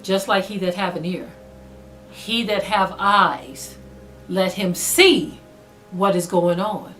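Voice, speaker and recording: A woman preaching a sermon to an online audience, earnest and exhorting, slowing down to stress her points. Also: on the low side, fairly bright tone, faint music behind, poor audio. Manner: earnest, exhorting, slow and emphatic with rising intensity. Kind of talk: spoken sermon to a video audience.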